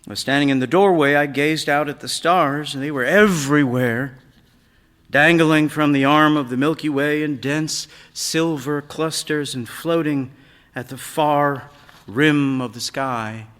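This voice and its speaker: A man reading a literary story aloud on stage, in a measured, dramatic way that moves between hush and sudden swells. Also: a little high, steady tone. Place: large theatre stage. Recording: reverberant hall, live stage reading.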